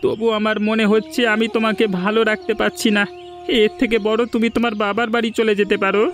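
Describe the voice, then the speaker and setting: A man's voice: sits high, monotone, fast, a bit bright. A man voicing a worried, downhearted village husband in a cartoon, speaking in a plaintive, earnest way. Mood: dejected. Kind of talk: Bengali fairy-tale cartoon dubbing. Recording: music bed under the voice.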